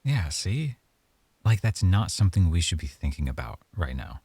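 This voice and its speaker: A man playing an affectionate, hesitant young boyfriend in an intimate ASMR roleplay, speaking softly and haltingly as he thinks aloud. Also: sits low, very animated, slightly rough, no echo, full character voice. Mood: hesitant, unsure.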